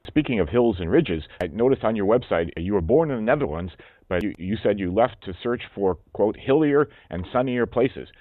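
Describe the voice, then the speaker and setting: Low-voiced male interviewer, fast-paced, dry and phone-thin. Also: expressive, no echo. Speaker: radio interviewer, host. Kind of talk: radio interview. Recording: tinny phone-like line.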